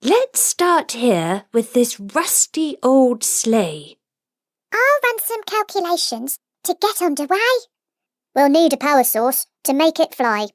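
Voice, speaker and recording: A woman voicing an eager, inventive little Christmas elf for a children's storybook, bouncy and excitable. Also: high-pitched, slow pace, dry recording, studio quality, pitched above the performer's own voice, heavily acted.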